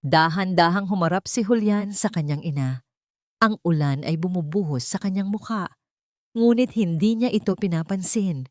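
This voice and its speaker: A woman's voice: fairly low pitch, moderate pace, a little mellow, no room sound, studio quality. A woman narrating a scripted audiobook drama in a dramatic storytelling style.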